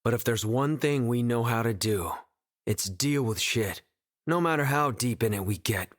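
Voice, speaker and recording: A man's voice: medium pitch, lively intonation, moderate pace, close to an everyday voice. An actor playing a blunt, loyal, slangy young man in a video game. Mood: exasperated, determined. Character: blunt, loyal, casual young male buddy.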